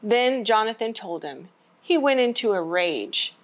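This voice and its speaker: Slow, high-voiced woman reading to kids. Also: expressive. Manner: simple, child-directed address. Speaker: children's storytime host at a church. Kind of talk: storybook reading for young children with chatty asides.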